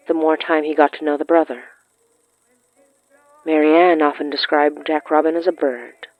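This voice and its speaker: A narrator of middling pitch reads a scripted story. The recording is somewhat dull and lo-fi, with music playing faintly behind the voice. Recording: muffled lo-fi sound with music.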